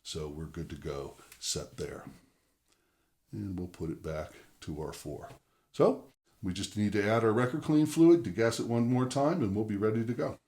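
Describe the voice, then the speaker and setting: A man with a very low-pitched voice hosts an instructional demonstration. He talks conversationally and explains each option step by step. He speaks straight to the viewer in a methodical way. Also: normal speed, mellow. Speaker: presenter of a record-cleaning tutorial channel.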